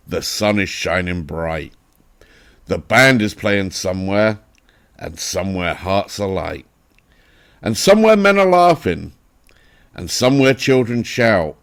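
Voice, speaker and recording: Male reader, English accent, low voice, slow pace, theatrical poem recitation, emphatic phrasing. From England. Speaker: poetry reader. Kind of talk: dramatic recitation of a narrative poem.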